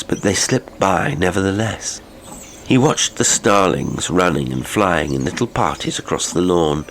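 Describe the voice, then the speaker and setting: A man narrating a classic horror audiobook in a measured, literary, theatrical manner. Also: sits low, a little hiss or hum. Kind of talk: dramatic horror story narration. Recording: music behind the voice.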